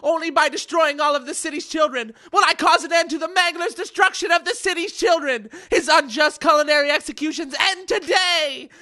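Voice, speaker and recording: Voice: sits high, a little fast, hardly any pauses, pushed and bright. An actor voicing a deranged, theatrical old mad-scientist grandfather in a comic radio play. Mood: grandiose, menacing. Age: older. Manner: theatrical, grandiose villain ranting.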